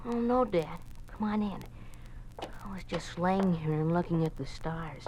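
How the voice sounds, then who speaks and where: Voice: mid-range voice, measured pace, a little mellow, slight background noise, lightly acted, rough recording. An actor with an American accent playing an earnest, naive young schoolboy in a 1950s radio drama. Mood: eager, wondering. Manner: eager, naive, polite. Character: earnest, eager young schoolboy, a Boy Scout and obedient son.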